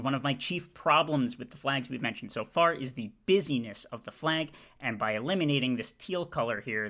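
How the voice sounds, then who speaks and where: A man with a bright, forward voice at a middling pitch talks casually. He stops and starts often, filling the gaps with drawn-out "uh"s as he works through a ranking. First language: English. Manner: halting, many "uh" fillers and restarts. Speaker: video creator ranking flags. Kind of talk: casual commentary.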